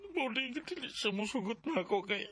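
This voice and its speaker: An actor playing an earnest, insecure young suitor in a Filipino radio drama, with a husky voice and a Bisaya accent. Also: male voice, very high voice, expressive, fairly quick, sharp tone, slight background noise, heavily acted. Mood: drunken, bitter.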